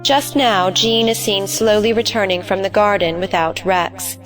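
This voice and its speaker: A woman's voice: medium pitch, steady tone, unhurried, faint music behind. A woman narrating an audio play, reading stage directions in a scripted style.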